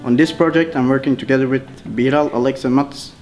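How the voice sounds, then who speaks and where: A man in his mid-twenties giving a brisk self-introduction. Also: medium pitch, fairly even intonation, a little mellow, soft background music. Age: 20s. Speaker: programming student.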